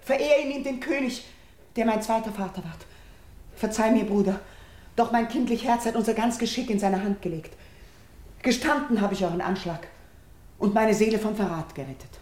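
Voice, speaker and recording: An actress playing a noble, devout young priestess in a classical radio drama, pleading in solemn, declaimed verse. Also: female voice, fairly high pitch, moderate pace, a little mellow, natural voice. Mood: urgent, earnest.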